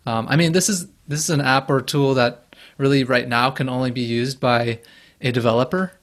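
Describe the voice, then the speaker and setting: A man speaking as a guest in a casual podcast interview over a video call, measured and thoughtful, with a fairly even voice. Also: medium pitch. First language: Japanese alongside English.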